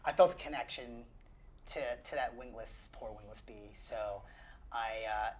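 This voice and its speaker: A man's voice: mid-range voice, a little slow, breathy edge. A man playing a tabletop roleplaying game, talking casually as he describes his character's moves.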